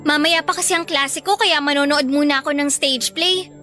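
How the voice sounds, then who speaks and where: Radio drama casting: a dutiful, chirpy Filipino kid sister, very high bright voice, faint music beneath. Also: female voice, fairly even intonation, normal speed. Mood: cheerful. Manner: chirpy, childlike. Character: sweet, eager, dutiful younger sister, a student.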